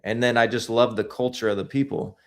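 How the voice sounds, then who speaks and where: A man's voice: medium pitch, steady tone, brisk. A man being interviewed as a guest filmmaker, telling his stories in a casual, rambling way with lots of restarts.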